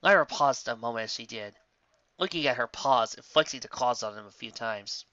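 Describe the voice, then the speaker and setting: Male story narrator, expressive scripted read, animated pitch, dry close sound. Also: fairly quick, no echo, highs cut off.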